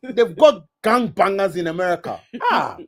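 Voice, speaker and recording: A man voicing a stern, alarmed Ghanaian elder with a Ghanaian accent who scolds loudly. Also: high voice, expressive, moderate pace, pitched above the performer's own voice. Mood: scolding. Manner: loud, scolding, exclaiming.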